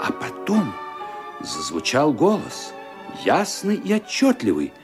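A man's voice: on the high side, wildly swooping pitch, takes it slowly. Male narrator for radio mystery drama, theatrical, hugely varied intonation, music underneath. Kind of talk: scripted dramatic storytelling.

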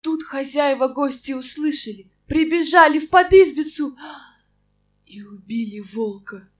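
Expressive female folk-tale narrator. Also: sits high, measured pace. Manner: expressive, sing-song. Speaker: storyteller.

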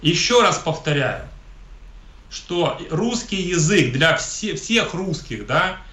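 A man's voice: fairly high pitch, big pitch swings, slow, bright, forceful tone. A man from Ukraine, an interview guest giving emphatic, polemical political commentary and often repeating himself. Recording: echoey room.

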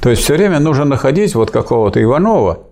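An older man discussing philosophy in a relaxed academic conversation, teaching with questions and frequent fillers. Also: medium pitch, quick pace. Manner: didactic, questioning, with many fillers and restarts.

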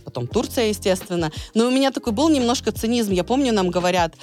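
A woman talking as an interview guest, explaining patiently and telling lively stories with acted-out dialogue. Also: mid-range voice, fast, soft background music. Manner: lively storyteller, acts out quoted dialogue; explains patiently with many small restarts. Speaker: guest, medical interpreter and former English teacher. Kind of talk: casual interview chat.

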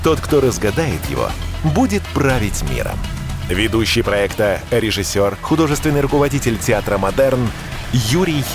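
A man reading a scripted radio programme intro in a deliberate, dramatic announcer style. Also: mid-range voice, very animated. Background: guitar music.

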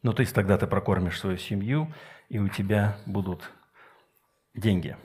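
A middle-aged man giving a Sunday sermon with personal anecdotes, speaking emphatically with deliberate pauses and rhetorical questions. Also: lowish voice, a little mellow, slightly rough. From Soviet Union. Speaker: preacher.

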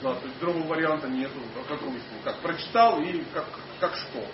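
A man with a high voice and a foreign accent lectures an audience on scripture. He speaks haltingly, with many fillers and restarts, and often puts questions to the listeners. He is picked up from a distance in an echoing hall, and the sound is dull with steady noise underneath. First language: not Russian. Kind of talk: informal religious lecture to an audience.

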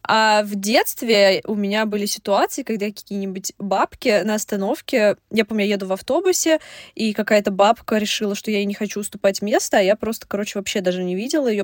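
A woman from Russia chats on a podcast, stacking quick asides and fillers. Her voice sits in a middle range. The sound is dry and close, with no room echo. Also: mid-range voice. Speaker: podcast co-host. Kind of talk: casual podcast chat.

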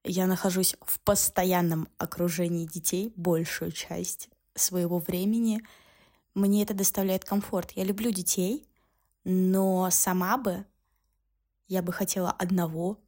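A woman in her twenties chatting casually as a podcast co-host, laying out her views in measured steps. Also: fairly low pitch, little pitch movement, dark tone. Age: 20s.

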